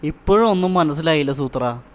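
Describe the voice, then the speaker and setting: A man's voice: on the high side, lively intonation, fairly quick, lightly acted. A man voicing a dim-witted, good-natured animal sidekick in a cartoon comedy, sounding earnest and puzzled. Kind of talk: Malayalam children's cartoon comedy acting.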